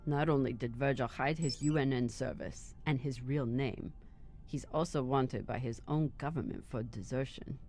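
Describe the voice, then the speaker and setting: A woman's voice: rumbling low, normal speed, dark tone, soft background music. An actress playing a guarded, blunt woman captain in a dramatic sci-fi video game. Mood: suspicious. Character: guarded, stern, blunt female space captain.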